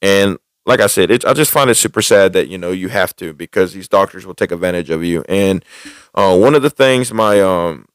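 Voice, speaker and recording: A man with a fairly low voice talks as a podcast host, recorded close and dry. He vents in a casual, personal storytelling way, circling back and repeating phrases to drive his point home. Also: a little fast, clear, resonant tone, dry recording, low-quality recording. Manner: repetitive, emphatic, with restated phrases.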